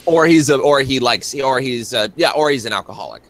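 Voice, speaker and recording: A man's voice: medium pitch, expressive, a little fast. A man talking casually as co-host of a live roleplaying stream, discussing a game character and chatting with viewers.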